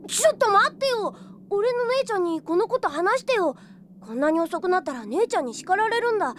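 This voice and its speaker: A woman playing a cheeky, excitable, chatty young boy in an audio drama, eager and boyish. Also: very high voice, brisk, a little hiss or hum. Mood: excited. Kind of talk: Japanese audio drama acting.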